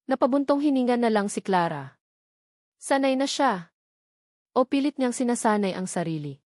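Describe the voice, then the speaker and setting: A woman's voice: a little high, a little mellow, dry recording, studio quality. A woman storyteller reading a scripted dramatic story as narration.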